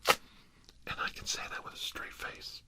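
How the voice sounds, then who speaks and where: An ASMR performer whispering softly as a gentle caretaker, coaxing and soothing. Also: a little slow. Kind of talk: ASMR roleplay.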